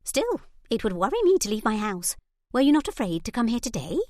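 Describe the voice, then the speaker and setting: A woman voicing an eager, excitable young noblewoman in an audiobook, gushing as she talks. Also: a little high, a little fast, no room sound, studio quality, voice pushed up. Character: bubbly, warm young English noblewoman. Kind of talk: audiobook character voice.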